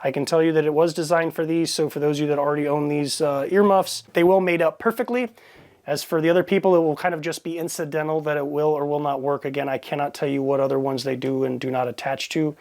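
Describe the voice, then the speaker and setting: A man's voice: on the high side, steady tone, fast talker, a little mellow. A man giving a casual, plain-spoken product review, explaining things informally as he goes.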